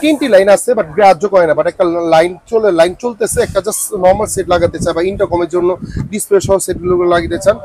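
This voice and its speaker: Male Bangladeshi shop salesman, high husky voice, informal showcase delivery with repeated price patter. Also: fast talker, a little mellow, poor audio. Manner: repetitive sales patter, some English words. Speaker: shop salesman presenting products.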